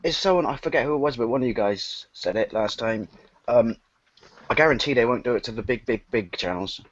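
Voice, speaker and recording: A man on a group stream call, joking around and firing off quick questions. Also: mid-range voice. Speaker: stream panelist. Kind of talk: casual group chat. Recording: dull dry lo-fi call audio.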